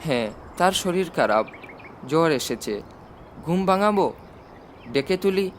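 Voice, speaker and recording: A man's voice: on the high side, expressive, unhurried, slight background noise. A man voicing a respectful, caring young woman in a storybook reading, with a polite, earnest manner.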